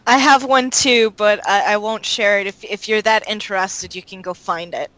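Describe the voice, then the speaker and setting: A woman's voice: medium pitch, steady tone, normal speed, fairly bright tone, no room sound, low-quality recording. A woman chatting casually as an interviewed voice actor, playful and bubbly. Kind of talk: casual chat.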